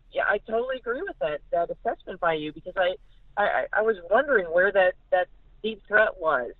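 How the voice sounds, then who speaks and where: A woman speaking as a sportswriter guest over a phone line, analysing football casually and haltingly, often repeating words. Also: fairly low pitch, dry recording. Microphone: phone call. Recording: narrow, tinny phone line.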